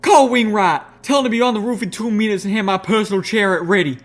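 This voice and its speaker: A man voicing a fiery, proud country mare with a drawl, shouting in strained, indignant outbursts. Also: a little high, big pitch swings, brisk, voice pushed up. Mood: commanding. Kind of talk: audiobook reading.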